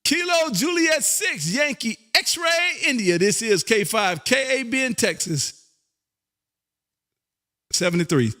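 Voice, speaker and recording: A high-pitched American man hosts live ham radio contacts. He talks in a folksy, exuberant style and likes to repeat a phrase to drive it home. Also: animated, slow, long pauses, pushed and bright. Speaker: amateur radio host and operator.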